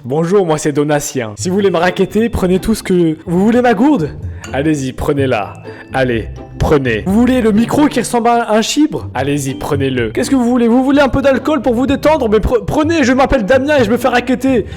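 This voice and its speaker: A man's voice: high-pitched, sing-song, fairly quick, soft background music. A man putting on a timid, nerdy male math teacher in glasses who begs to be robbed, as a joke on his live stream. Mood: meek. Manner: meek, then frantic and shouting.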